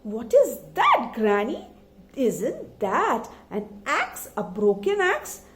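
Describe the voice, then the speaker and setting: A weary, crafty soldier from a children's folktale, as a woman storyteller puts him on. The voice is high and slow, with polite coaxing. It sounds in an echoey room. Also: huge pitch swings, fairly bright tone, exaggerated voice. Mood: eager, sly. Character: hungry, tired soldier, polite and crafty man.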